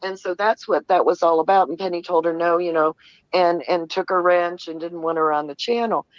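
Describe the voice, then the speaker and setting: A woman's voice: fairly low pitch, fairly even intonation, brisk, no room sound. Woman chatting over dull, dry lo-fi audio. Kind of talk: casual explanatory gossip.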